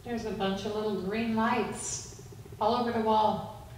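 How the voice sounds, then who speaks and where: A woman's voice: mid-range voice, steady tone, unhurried. A woman investigating the paranormal, putting simple questions and instructions to an unseen presence. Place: indoors in an old house. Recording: very echoey room, distant mic, faint noise.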